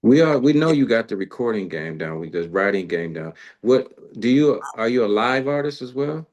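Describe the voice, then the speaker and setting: A man's voice: mid-range voice, sing-song, normal speed, darkish tone, highs cut off. A man hosting a casual interview in an informal, colloquial conversational way. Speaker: interviewer, show host.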